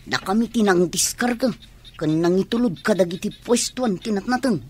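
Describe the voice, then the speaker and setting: A woman's voice: mid-range voice, moderate pace, darkish tone, a little hiss or hum, close to an everyday voice. A woman acting as a dutiful, caring young adult talking to a parent in an Ilocano radio drama.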